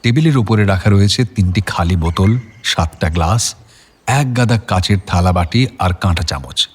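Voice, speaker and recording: A man's voice: low voice, animated, normal speed, breathy edge. A man narrating a scripted detective audio story in the third person. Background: music.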